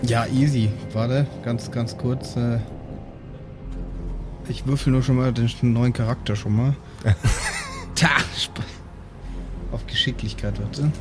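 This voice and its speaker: A man's voice: medium pitch, fairly even intonation, takes it slowly, pauses often. A man chatting casually as a player in an improvised tabletop game. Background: faint background music.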